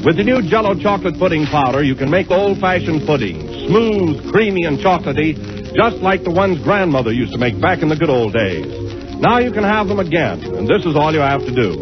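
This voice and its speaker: An American man delivers an enthusiastic vintage radio sales pitch. His voice is fairly high and swings lively in pitch. The old recording sounds dull. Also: a little fast, music in the background. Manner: enthusiastic, emphatic selling. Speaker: commercial announcer. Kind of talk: 1930s radio advertising pitch.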